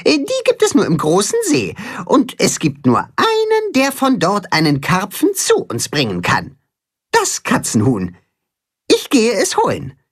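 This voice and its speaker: A man's voice: high voice, wildly swooping pitch, unhurried, slightly rough, voice pushed up, exaggerated voice. A man voicing a brave, kind young chicken in a children's story, eager and caring.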